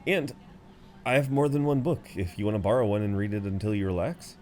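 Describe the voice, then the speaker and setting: A man's voice: lowish voice, brisk, darkish tone, natural voice. A man playing a chatty, good-humoured frequent flyer who rambles kindly to a seatmate, in an intimate roleplay audio. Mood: friendly, rambling. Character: chatty, friendly tall male frequent flyer comforting seatmate. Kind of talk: intimate roleplay audio acting, one side of conversation.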